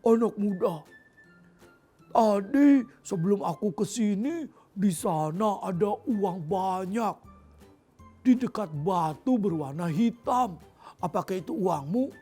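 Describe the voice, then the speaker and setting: A woman's voice: mid-range voice, expressive, mellow, slightly rough, faint music behind. A woman voicing a wise, scheming man in a children's fairy tale, coaxing a youth. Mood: sly coaxing. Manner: slow, coaxing.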